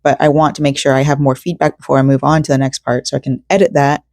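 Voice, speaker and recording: A woman's voice: extremely low pitch, level tone, fast talker, a little mellow, dry recording, clean studio sound. Chatty, tired young woman vlogging. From South Korea, raised in Florida. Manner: rambling and chatty, with frequent fillers and self-corrections. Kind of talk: casual personal vlog chat while crocheting.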